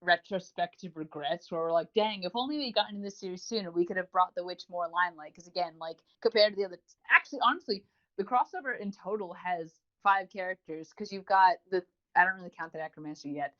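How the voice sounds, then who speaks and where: A woman podcast co-host chats very quickly in a casual, rambling, enthusiastic way. Her voice sits a little low for a woman. The recording is somewhat dull and lo-fi. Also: a bit bright. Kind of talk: casual review chat. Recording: dull lo-fi audio.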